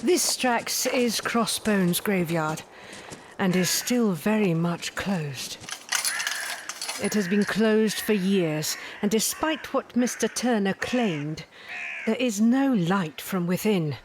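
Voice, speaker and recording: A woman playing an imperious, aloof reptilian lady detective of Victorian times in an audio drama, haughty, measured and commanding. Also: medium pitch, lively intonation, noisy background. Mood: dismissive.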